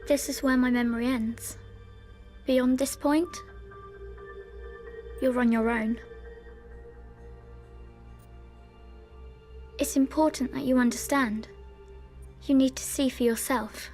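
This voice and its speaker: A woman's voice: sits high, steady tone, a little slow, long pauses, darkish tone, faint music behind. An actress playing a haunted young girl in a game, earnest and pleading. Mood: urgent, earnest.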